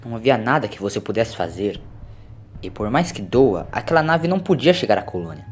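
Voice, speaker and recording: A man's voice: fairly low pitch, very animated, a little fast, slight background noise, voice pushed up. A man voicing an eccentric, determined time traveller in an audio drama, with an emphatic, commanding delivery. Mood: urgent, regretful.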